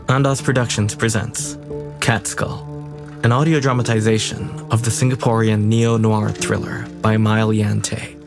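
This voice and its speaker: A man's voice: lowish voice, little pitch movement, moderate pace, vocal fry. A man reading a scripted podcast promo as the trailer announcer. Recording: promo mix over music.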